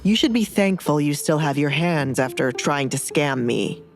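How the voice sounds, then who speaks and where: A woman's voice: sits low, expressive, normal speed, faint music behind. A woman voicing a menacing, smug male nobleman who teases and mocks in an audio drama.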